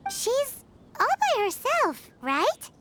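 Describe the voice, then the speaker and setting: A woman voicing a tiny, excitable female fairy companion in game dialogue who talks about herself in the third person. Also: very high-pitched, very slow, noisy background, full character voice. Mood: curious. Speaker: companion character. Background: game music.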